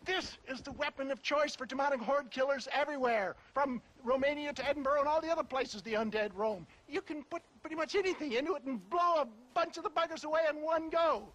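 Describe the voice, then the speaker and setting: A man's voice: extremely high pitch, brisk, few pauses, a bit bright, low-quality recording. An actor playing a flamboyant, theatrical older con-man selling health tonics, with a chuckling, wheedling manner. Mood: theatrical scheming. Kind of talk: video game cutscene acting.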